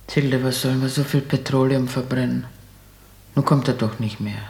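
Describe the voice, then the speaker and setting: A woman's voice: rumbling low, steady tone, normal speed, a little mellow. An actress playing an anxious, gossipy old mother in a German radio play, with a fretful, rambling, homely way of talking. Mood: resigned grumbling. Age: older.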